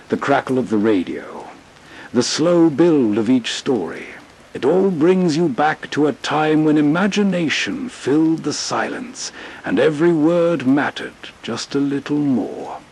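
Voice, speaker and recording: Husky, gentle man hosting late-night radio. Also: on the high side, low-quality recording. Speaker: nostalgia show host. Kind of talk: soothing scripted bedtime narration.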